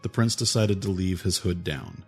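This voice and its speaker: Man, very deep voice, scripted fantasy audiobook narration, light music bed. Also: sing-song, moderate pace, gravelly.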